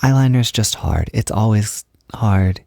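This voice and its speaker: A man speaking softly and intimately in a roleplay, gentle and reassuring as if guiding someone through a task, at times close to a whisper. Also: lowish voice, expressive, measured pace, creaky, no echo. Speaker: roleplay audio performer. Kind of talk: soft-spoken intimate roleplay, like a personal makeup tutorial.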